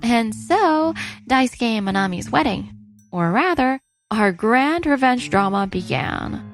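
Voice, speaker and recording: A wronged wife played by a woman narrates a manga revenge drama. Her voice is fairly high with a lot of creak, and her delivery stays composed over background music. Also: expressive, a little slow. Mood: composed, storytelling. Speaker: narrator and lead character. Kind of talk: scripted narration for a manga story dub. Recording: music under the voice.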